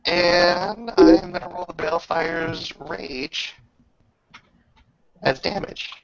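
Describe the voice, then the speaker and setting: A man narrating an improvised tabletop game as game master, halting with drawn-out pauses as he describes the action. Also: a little high, gravelly, a crowd in the background. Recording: dull lo-fi voice recording.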